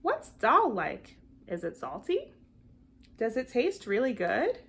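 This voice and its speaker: A woman's voice: a little high, huge pitch swings, takes it slowly, husky, heavily acted. A woman voicing curious young children in a storybook, with an eager, questioning, childlike delivery. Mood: curious, impatient. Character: curious, doubtful young children, friends of the boy.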